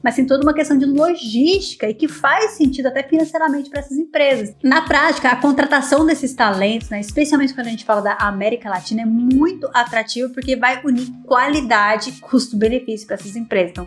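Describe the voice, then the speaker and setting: A Brazilian woman in her thirties, a software engineer with a Brazilian Portuguese accent, giving conversational career advice with frequent tag questions. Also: sits high, fast, few pauses. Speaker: software engineer and tech YouTuber. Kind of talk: explanatory career advice vlog. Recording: faint background music.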